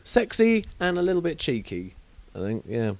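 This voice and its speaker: A man's voice: fairly high pitch, wildly swooping pitch, normal speed, vocal fry, darkish tone, no echo. A man from England hosting a podcast, chatting casually with frank, awkward rambling and many fillers. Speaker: podcast host.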